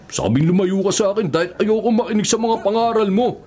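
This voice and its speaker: A man's voice: high voice, fairly quick, darkish tone, close to an everyday voice. An actor playing a hot-tempered, bullying male inmate in a radio drama, aggressive and impatient. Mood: irritated.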